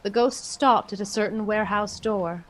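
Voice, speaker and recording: A woman's voice: mid-range voice, moderate pace, lightly acted. A woman narrating a Victorian Christmas tale in a scripted storybook style for a radio play. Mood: descriptive.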